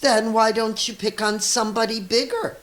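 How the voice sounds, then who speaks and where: A woman's voice: fairly high pitch, steady tone, moderate pace, voice pushed up, lightly acted. A woman reading a children's storybook, voicing a friendly little ladybug who speaks sweetly and politely. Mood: sweetly firm. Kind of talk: children's storybook read-aloud.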